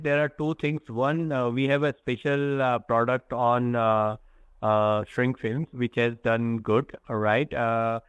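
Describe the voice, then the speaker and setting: A man, a company executive explaining results to analysts in a halting, candid way. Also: mid-range voice, clear, resonant tone, no echo. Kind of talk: earnings call answers.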